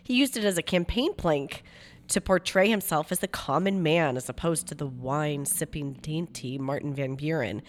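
Woman, husky from a cold, lowish voice, reading trivia aloud, laughing often. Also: normal speed, a little hiss or hum. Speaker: podcast co-host. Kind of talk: casual chat reading trivia.

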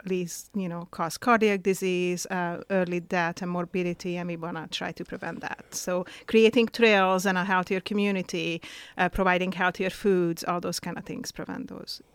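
A middle-aged woman with a Hungarian accent and a smooth, resonant voice answers questions on a radio talk show. She speaks plainly and matter-of-factly. The sound is close and dry, with no room around it. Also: fairly low pitch, dry recording. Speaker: guest, nurse and health coordinator.

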